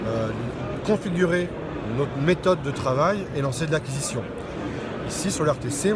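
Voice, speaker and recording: A man presenting a product in an unscripted demonstration, explaining step by step with hesitations and rephrasings. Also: on the high side. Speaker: product presenter at a trade show stand. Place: busy exhibition hall, indoors. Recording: loud trade-show hall noise behind. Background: loud ambient hall noise.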